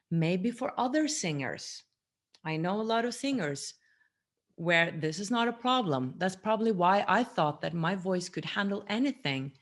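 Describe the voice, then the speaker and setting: A woman in her forties talking earnestly to camera in a personal teaching monologue, recorded seated in a small room at home. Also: medium pitch. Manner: earnest, gestures a lot with her hands. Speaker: vlogger.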